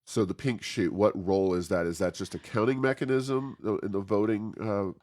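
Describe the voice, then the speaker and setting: Low-voiced, halting American man hosting. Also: darkish tone. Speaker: podcast host and think tank president. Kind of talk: conversational interview.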